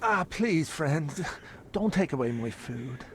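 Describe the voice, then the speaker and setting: A desperate, humble poor father in a game cutscene. His voice swings widely in pitch as he stammers deferentially, over clearly audible background noise. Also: very animated, slow. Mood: desperate pleading. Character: desperate, humble poor family man, pleading and grateful. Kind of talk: video game cutscene dialogue.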